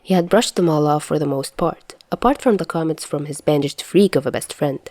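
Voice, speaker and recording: A woman's voice: deep voice, brisk, darkish tone, no echo, studio quality. A woman narrating written fiction in a scripted audiobook style. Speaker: narrator reading fan fiction.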